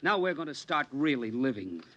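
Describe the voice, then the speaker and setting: A man's voice: medium pitch, very animated, normal speed, fairly bright tone, muffled recording. An actor playing a stern, exasperated father in a 1950s radio drama. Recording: restored 1950s radio drama, music behind.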